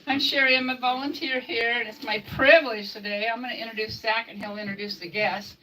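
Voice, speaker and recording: A woman's voice: a little high, steady tone, fairly quick, bright, forceful tone. A woman volunteer making an informal, jokey introduction of a speaker at a live event. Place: live event at a historical society. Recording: distant mic with crowd behind.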